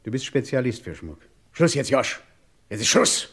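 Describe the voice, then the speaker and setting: An actor playing a domineering, short-tempered crime boss in a radio play, mocking and commanding. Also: male voice, medium pitch, very animated, moderate pace, husky. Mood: threatening.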